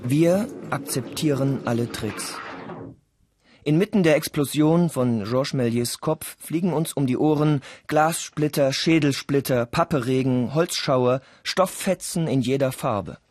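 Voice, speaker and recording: A man's voice: mid-range voice, measured pace, darkish tone, no room sound, clean studio sound. A man reading scripted storytelling narration for an audio play. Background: music.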